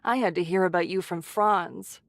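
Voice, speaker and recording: A woman voicing a caring, brisk shelter leader who scolds in a motherly, teasing way, in an English anime game. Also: lowish voice, no echo, lightly acted. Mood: teasing, scolding.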